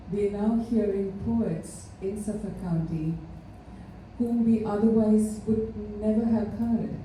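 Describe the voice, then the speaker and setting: A woman from India giving an earnest, measured tribute speech, pausing often with fillers. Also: medium pitch, mellow. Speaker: ceremony speaker. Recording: echoey hall, mic far off, faint noise.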